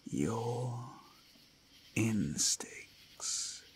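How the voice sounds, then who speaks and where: A man playing a predatory male werewolf in an ASMR roleplay, menacing and seductive, theatrical, with a gravelly voice that often drops to whispers. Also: lowish voice, expressive, drawn-out words, exaggerated voice. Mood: sly, coaxing. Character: predatory male werewolf toying with his prey. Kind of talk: ASMR roleplay, dramatic monologue.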